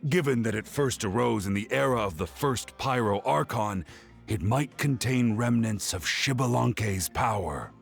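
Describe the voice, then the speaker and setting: An animated, creaky earnest young researcher. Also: male voice, medium pitch, a little slow, faint music behind. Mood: insistent. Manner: earnest, insistent, explaining. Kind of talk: video game dialogue.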